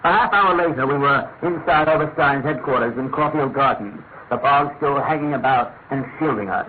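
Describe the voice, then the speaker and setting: An actor playing a respectable, loyal English gentleman, a detective's cautious companion, earnest and proper in a classic radio drama. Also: mid-range voice, normal speed, a little hiss or hum, natural voice. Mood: measured narrating. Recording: tinny old radio recording, loud music behind.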